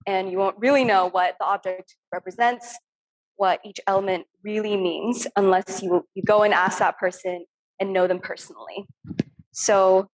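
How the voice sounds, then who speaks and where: A woman's voice: medium pitch, low-quality recording. A young woman from Turkey, an artist, talking casually and earnestly about her work with frequent ums over a video call. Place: home room on a video call.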